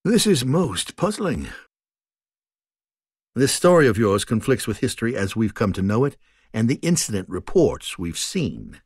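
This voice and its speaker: An actor voicing an inquisitive male council leader in a game, husky, animated and formal in speech. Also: mid-range voice, unhurried, dry recording, studio quality, heavily acted. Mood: puzzled. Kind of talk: dramatic English game dub. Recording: music behind the voice.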